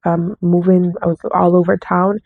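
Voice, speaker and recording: Low gravelly woman, slightly nasal. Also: flat delivery, normal speed. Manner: rambling, often correcting herself. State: coming down with a cold, slightly nasal. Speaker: book vlogger. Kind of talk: casual book haul chat. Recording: dry, tinny phone-like sound.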